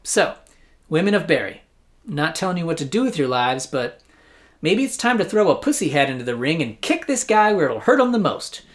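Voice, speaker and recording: A man's voice: a little high, very animated, brisk. An American man hosting a satirical news show, delivering scripted comedic commentary in a sarcastic, mock-incredulous way.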